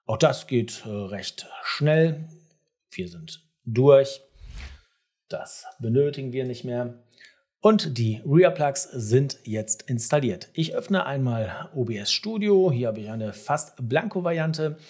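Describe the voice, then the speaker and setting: Male tutorial host, forties, mid-pitched and a little rough-edged, direct and instructive, explaining step by step. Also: expressive, takes it slowly, darkish tone. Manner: direct, instructive, addressing the viewer. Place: small home studio room, indoors.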